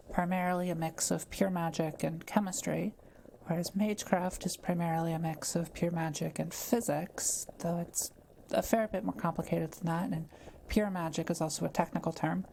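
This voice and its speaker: A woman playing a kind, patient witch in an intimate audio roleplay, explaining things gently and without hurry to one listener. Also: on the low side, steady tone, moderate pace, gravelly, a little hiss or hum, low-quality recording. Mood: patient, explanatory. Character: kind, quiet-living forest witch, patient and scholarly.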